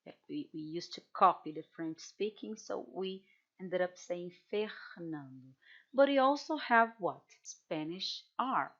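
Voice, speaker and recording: A Brazilian woman who speaks English with a Brazilian Portuguese accent, teaching in an unhurried, measured way. Her voice sits a little low for a woman. She patiently repeats example words on dull, lo-fi audio. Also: expressive, a bit bright. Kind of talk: online language workshop.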